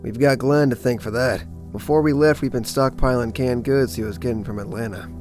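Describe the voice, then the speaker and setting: A man's voice: medium pitch, fairly quick, darkish tone, soft background music, lightly acted. A man playing a level-headed cop surviving a zombie apocalypse in a comic dub drama. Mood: friendly.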